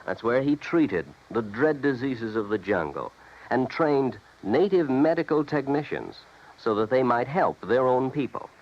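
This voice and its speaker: Low, animated American male radio announcer. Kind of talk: scripted radio public-service narration. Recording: dull vintage radio recording.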